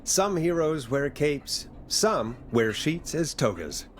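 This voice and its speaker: An actor playing a wry, put-upon late-night radio host on air in a video game. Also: male voice, fairly high pitch, sing-song, takes it slowly, heavily acted. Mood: wry, composed. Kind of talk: video game acting of live radio talk.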